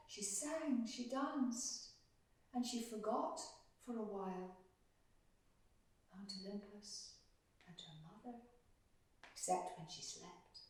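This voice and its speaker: Very slow woman storyteller in echoey room. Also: mid-range voice, a little mellow. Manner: deliberate, repetitive phrasing with dramatic pauses. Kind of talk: oral myth storytelling for children. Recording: very echoey room, distant microphone.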